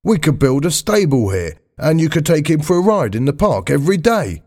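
A man reading aloud, voicing a soft-hearted, eager man in a brisk, slightly raised voice. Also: fairly high pitch, sing-song, pitched above the performer's own voice. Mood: hopeful.

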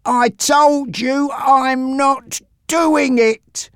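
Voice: medium pitch, slow, smooth, dry recording, clean studio sound, voice pushed up. A narrator voicing a brave, defiant young man under threat in an audiobook story, with a strained, stubborn manner. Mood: strained defiance.

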